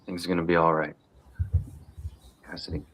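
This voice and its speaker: A man reading the part of a tough, watchful, gruffly protective uncle from a crime family at a film table read. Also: deep voice, flat delivery, moderate pace, fairly bright tone, a little hiss or hum. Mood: calm, reassuring. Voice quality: gravelly.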